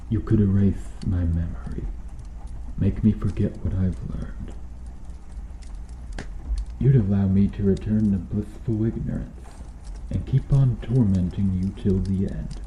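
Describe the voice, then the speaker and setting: A man's voice: low voice, lo-fi sound. A man playing a brooding, remorseful and protective medieval knight in an ASMR roleplay, speaking formally and solemnly with archaic phrasing. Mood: pained refusal. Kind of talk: ASMR roleplay, slow dramatic acting addressed to the listener. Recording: roomy echo, faint background noise.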